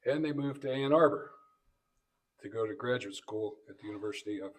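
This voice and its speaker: A man introducing guests in a banquet speech, delivering it phrase by phrase in a halting way. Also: fairly low pitch, poor audio. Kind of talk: banquet introduction speech, read from notes with asides. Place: banquet event room.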